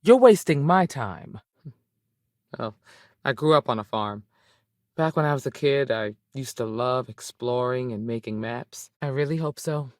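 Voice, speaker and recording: A woman's voice: a bass voice, expressive, unhurried, dry recording. An actress playing a tough, no-nonsense space ranger and mother in a video game, firm, plainspoken and dry in manner. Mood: thoughtful. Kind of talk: video game dialogue acting.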